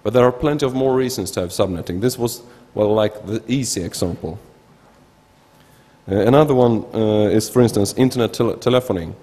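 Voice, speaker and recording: A man's voice: fairly low pitch, fairly quick, warm tone. Swedish-accented man in a reverberant hall. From Sweden. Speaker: researcher presenting at a conference. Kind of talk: informal technical conference talk. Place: large indoor conference hall, at a lectern. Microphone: gooseneck lectern microphone.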